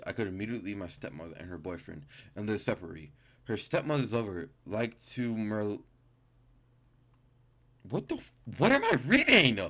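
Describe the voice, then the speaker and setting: A man's voice: medium pitch, a bit bright. A man reading game text aloud as a gaming YouTuber, stumbling and correcting himself, with casual reactions. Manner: stumbling, self-correcting reader.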